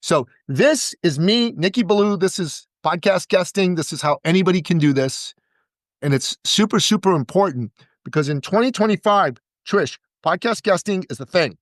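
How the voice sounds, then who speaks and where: A man talking as a podcast guest and business coach, giving an energetic pitch and repeating words for emphasis. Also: normal speed, no room sound, clean studio sound.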